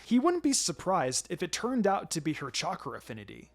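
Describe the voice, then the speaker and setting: A man's voice: high-pitched, huge pitch swings, fairly quick, gravelly. A man reading fan fiction aloud as a narrator, in a dramatic scripted storytelling style. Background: music.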